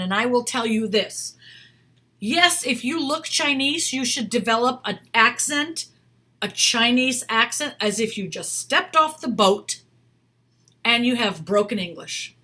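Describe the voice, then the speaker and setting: A woman's voice: on the high side, bright, forceful tone. A middle-aged woman, an acting career coach, giving casual, emphatic advice to camera. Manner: chatty, emphatic, reads a viewer's email aloud.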